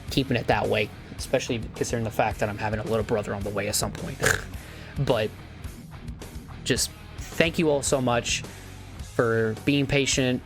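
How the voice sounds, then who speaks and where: A hoarse young man losing his voice, rambling earnestly with stumbles and restarts as he wraps up a sim racing commentary. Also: medium pitch. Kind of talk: casual outro after play-by-play commentary.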